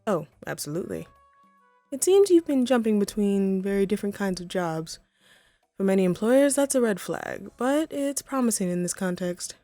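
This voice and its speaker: A woman's voice: medium pitch, lively intonation, moderate pace, dark tone, no room sound, soft background music, lightly acted. A woman voicing a haughty, wry female supervillain in a scripted audio roleplay, poised and theatrical but conversational. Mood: encouraging, sly.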